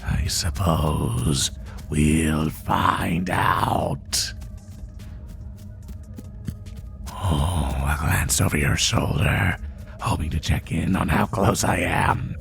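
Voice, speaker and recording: A man voicing a sadistic spider monster in a horror roleplay, taunting the listener with menace in a breathy, gravelly half-whisper. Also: a bass voice, a bit bright, faint music behind, full character voice. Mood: mocking pursuit. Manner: slow, taunting, menacing, drawn-out phrases. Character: sadistic male spider monster hunting prey through the woods.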